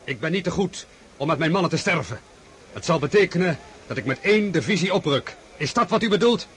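An actor playing a proud, defiant military commander in a radio drama, indignant and clipped. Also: male voice, a little high, animated, fairly quick, lightly acted. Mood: indignant defiance.

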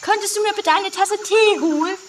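A woman's voice: very high-pitched, normal speed. A woman playing a flighty, curious lady in an amateur comedy radio play, theatrical and chatty. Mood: chatty, nosy. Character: gushing, flighty, curious, easily unsettled lady. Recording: distant, faintly noisy amateur 1980s recording.

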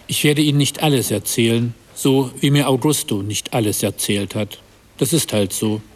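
A man's voice: mid-range voice, moderate pace, lightly acted. Male storyteller for radio drama monologue, played by an actor: careful, confiding, mid-range. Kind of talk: scripted radio play monologue.

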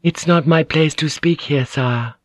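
A man voicing a poised noblewoman who speaks politely and with measured deference. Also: a little high, no room sound, pitched above the performer's own voice. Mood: deferential. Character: poised noblewoman at a war council.

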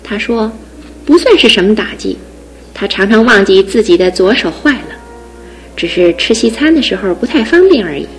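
An actress in a radio drama playing a devoted young fiancée who speaks earnestly and pleadingly, with steady resolve. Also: female voice, medium pitch, normal speed, faint music behind, natural voice, rough recording. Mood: soothing. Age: young adult. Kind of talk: broadcast radio drama acting.

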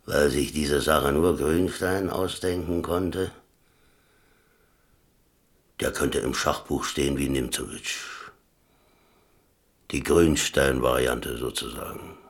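Casting: wry ex-convict adventurer, radio play, low voice, colloquial, dry, musing delivery. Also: male voice, slow, long pauses, exaggerated voice. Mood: musing, rueful. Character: laconic, wry German ex-prisoner and adventurer telling his story. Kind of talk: radio drama acting and first-person narration.

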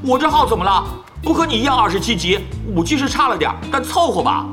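A man voicing a fast-talking nosy young gamer. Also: very high voice. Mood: pestering. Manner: rapid, pestering, excitable chatter. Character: chatty, nosy young male pro gamer. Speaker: voice actor. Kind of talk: audio drama acting. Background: background music.

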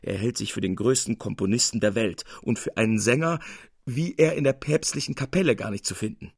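A man reading a literary tale as narrator, with precise, expressive and theatrical storytelling. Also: mid-range voice, brisk, vocal fry, husky, no echo, studio quality. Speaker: narrator, reader.

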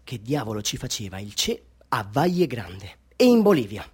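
A man's voice: mid-range voice, big pitch swings, moderate pace, a little mellow, slightly rough. A chatty, self-important street photographer works a group of tourists in a radio play monologue. His mid-range voice moves between pompous sales patter and confiding storytelling, full of rhetorical questions. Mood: animated, wry. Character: boastful, chatty small-time Bolivian street photographer hustling tourists. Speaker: actor in a radio drama monologue.

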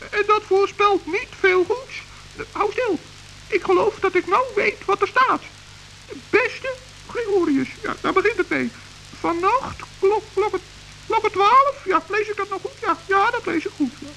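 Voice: extremely high pitch, lively intonation, vocal fry, sharp tone, slight background noise, heavily acted, rough recording. A performer voicing a small, kind, earnest forest gnome in an old children's radio play. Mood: reading, worried. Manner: earnest, hurried, stammering when flustered.

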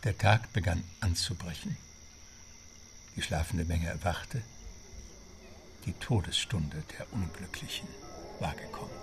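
A man's voice: deep voice, a little slow, gravelly, pauses often, music in the background. A man narrating an adventure radio drama as a composed storyteller with scripted delivery. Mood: composed, dramatic.

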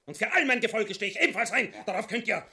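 A man playing a smug, flattering Austrian army captain, speaking in a florid, mock-courtly way in a farcical radio play. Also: high-pitched, fast, full character voice. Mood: sly, courtly.

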